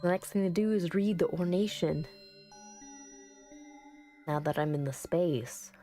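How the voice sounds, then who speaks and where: A woman's voice: lowish voice, measured pace, dark tone, faint music behind, natural voice. Woman reading as an earnest, solemn visual novel narrator. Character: earnest, anxious narrator summoning a ghost girlfriend.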